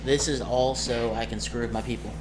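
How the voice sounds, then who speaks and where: A man's voice: mid-range voice, steady tone, fairly quick. A man talking casually and off the cuff as a game master, rambling and often searching for words. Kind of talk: casual off-the-cuff vlog talk. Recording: noisy room ambience, amateur recording.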